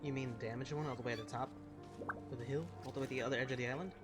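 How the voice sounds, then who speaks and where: A woman's voice: extremely low pitch, steady tone, racing. A woman giving casual, reactive commentary while playing a game, exclaiming as she goes. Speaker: gamer. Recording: loud game music behind.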